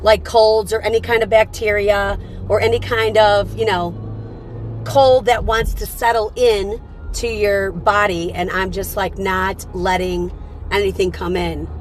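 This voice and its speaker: A woman hosting a casual morning livestream, chatty and upbeat, her voice slightly raspy from a cold. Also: mid-range voice, flat delivery, unhurried, faint music behind. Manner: upbeat, chatty, greets viewers by name. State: fighting off a cold. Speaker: livestream host.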